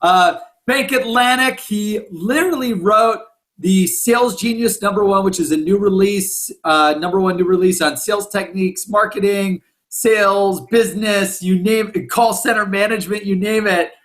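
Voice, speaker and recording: A man's voice: sits high, moderate pace, fairly bright tone. A man hosting an interview podcast in an upbeat, effusive and casual way. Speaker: podcast host.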